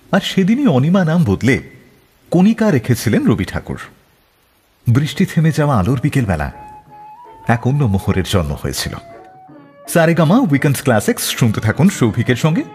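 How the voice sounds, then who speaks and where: A man hosting a radio show, narrating a scripted story in a dramatic, expressive way. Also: mid-range voice, moderate pace, pauses often, in a large hall, soft background music.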